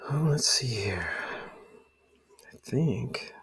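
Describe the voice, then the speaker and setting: A man speaking softly for ASMR close to the microphone, breathy and often near a whisper, in a hesitant, rambling murmur. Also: mid-range voice, animated, extremely slow, slight background noise. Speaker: ASMR creator playing a spy dad showing gear. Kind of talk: ASMR roleplay, soft-spoken.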